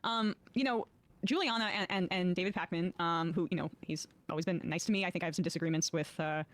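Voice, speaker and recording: A woman talking casually about politics as a show host, with a halting, hedging delivery. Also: on the low side.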